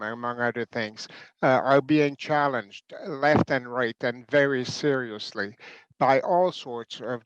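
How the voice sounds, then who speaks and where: A middle-aged man with a smooth, resonant voice speaks in a measured way, often pausing on fillers and repeating words. The sound is dry and a bit dull, like a video call. He talks as a university president on a panel. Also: medium pitch, no echo. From Canada. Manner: measured, with frequent fillers and restarts. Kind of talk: panel talk.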